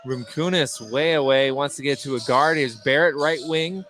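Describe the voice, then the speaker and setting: Higher-voiced American man calling basketball play-by-play. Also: moderate pace. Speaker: play-by-play announcer. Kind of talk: live basketball play-by-play commentary. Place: courtside in an indoor gym. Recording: noisy gym with ball bounces. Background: gym noise, bouncing basketball.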